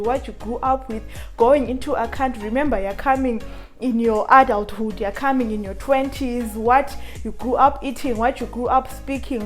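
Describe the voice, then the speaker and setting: A woman's voice: on the high side, darkish tone. A woman with a Zimbabwean accent hosting a motivational advice vlog, speaking emphatically to her viewers and repeating phrases for stress. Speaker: vlogger, channel host.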